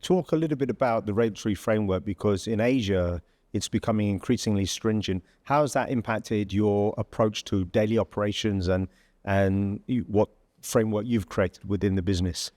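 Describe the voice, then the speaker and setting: Male podcast host, lowish voice, dry close sound, conversational questions. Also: fairly quick, darkish tone, dry recording. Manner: conversational, asks long questions. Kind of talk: podcast interview.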